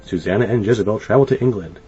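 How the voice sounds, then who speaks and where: Guy with a lowish voice narrating a kids storybook, super expressive, bit lo-fi with music behind. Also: rapid-fire. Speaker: storybook reader. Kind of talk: scripted children's story narration. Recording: dull lo-fi sound. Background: light music.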